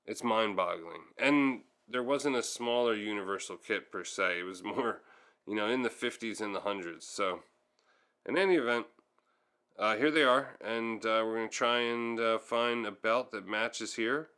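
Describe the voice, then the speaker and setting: A man's voice: lowish voice, normal speed, pushed and bright, smooth. A man giving casual, hands-on how-to narration as a tutorial host, thinking aloud a little hesitantly as he goes.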